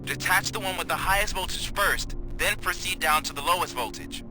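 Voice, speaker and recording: An actor playing a nervous, bumbling, eager police officer in a video game, with a hesitant, flustered way of talking. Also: male voice, sits high, brisk, music in the background, close to an everyday voice, low-quality recording. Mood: nervously focused. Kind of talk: video game cutscene acting.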